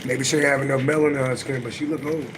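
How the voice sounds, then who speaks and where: A middle-aged man with a naturally raspy voice, chatting loosely and profanely on a livestream and answering viewers' comments. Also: level tone, racing, heavy background noise. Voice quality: deep voice. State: voice a little worn from singing. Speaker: livestream host and astrology reader. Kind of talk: casual livestream chat.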